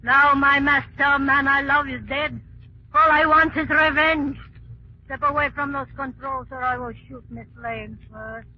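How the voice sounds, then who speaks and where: 날카롭고 느린 말투의 복수심에 찬 여인. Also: female voice, high-pitched, steady tone, pushed and bright, heavily acted. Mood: bitter, vengeful. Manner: clipped broken English, menacing and deliberate. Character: vengeful, grieving young native woman holding a revolver. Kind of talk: 1940s radio drama acting. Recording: muffled, noisy 1940s radio recording.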